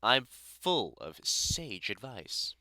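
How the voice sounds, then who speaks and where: A man's voice: medium pitch, sing-song, very slow, sharp tone, no room sound, exaggerated voice. A man playing a middle-aged British vampire hunter and carpenter in an intimate audio roleplay, blunt, sweary and teasingly affectionate. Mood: teasing, fond. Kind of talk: audio roleplay acting, intimate one-sided conversation.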